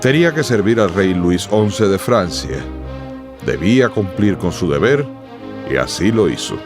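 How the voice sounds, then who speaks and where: A male narrator tells a radio drama story formally and dramatically. His voice is fairly low with lively intonation, and music plays clearly behind him. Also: a little slow.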